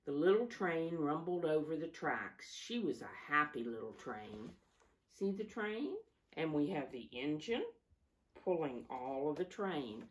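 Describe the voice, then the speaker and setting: A woman with a low voice reads a children's story at a slow, deliberate pace, a little away from the microphone. She sounds like a teacher at storytime, stressing key words and explaining things simply to young listeners. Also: animated. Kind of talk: read-aloud storytime with simple asides to children. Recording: voice distant from the microphone.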